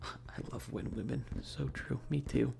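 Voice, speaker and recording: A man chatting casually on an ASMR game stream in a soft voice that often slips toward a whisper, laid-back and sweary with slangy, hesitant enthusiasm. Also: mid-range voice, measured pace, vocal fry, over music. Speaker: streamer hosting a live stream. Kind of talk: casual rambling ASMR stream chat about games.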